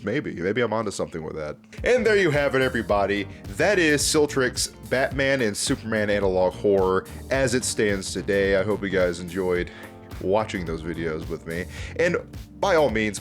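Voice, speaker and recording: A man hosting an online video, giving casual, off-the-cuff commentary in a chatty, informal, rambling way. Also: sits low. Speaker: YouTube host reviewing fan videos.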